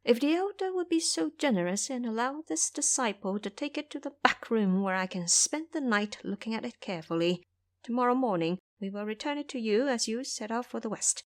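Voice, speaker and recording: A woman's voice: on the high side, lively intonation, fairly quick, lightly acted. An elderly, covetous abbot from a fantasy audio drama, voiced by a woman. He pleads in a slightly raised, plaintive voice, sly and full of fawning politeness. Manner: obsequious, plaintive.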